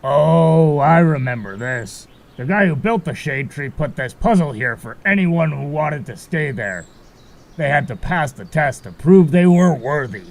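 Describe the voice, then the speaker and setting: A man's voice: on the high side, animated, unhurried, creaky. A man voicing a carefree, cagey marsh fairy guide in an audio drama, talking in a breezy, casual way. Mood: explaining, assured. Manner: breezy, casual, a bit evasive.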